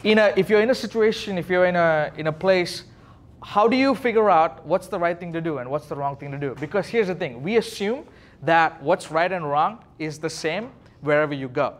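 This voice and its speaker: A man's voice: fairly high pitch, expressive, fairly quick. A man from Sri Lanka teaching as a youth pastor in a casual, question-driven way, explaining things informally. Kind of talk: casual interactive teaching talk.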